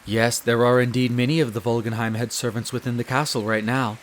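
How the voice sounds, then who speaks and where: Casting: male actor for a devoted wolf butler, head servant of a castle. Formal, polite, measured delivery, mid-range pitch, fantasy audio drama. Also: level tone, slight background noise, lightly acted. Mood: dutiful, composed. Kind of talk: scripted roleplay audio drama.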